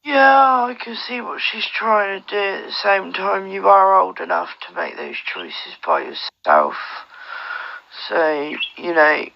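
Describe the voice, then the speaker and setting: Very creaky, rambling casual talker on lo-fi radio. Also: mid-range voice, takes it slowly. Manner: rambling, repetitive, frequent swearing. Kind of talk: casual advice chat over radio. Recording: dull lo-fi walkie-talkie audio.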